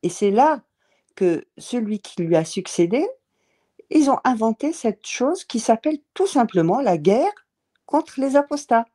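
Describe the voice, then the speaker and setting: Slow, mellow-voiced woman. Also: fairly high pitch, sing-song. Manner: emphatic, halting with many fillers. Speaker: panel speaker. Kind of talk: casual panel discussion.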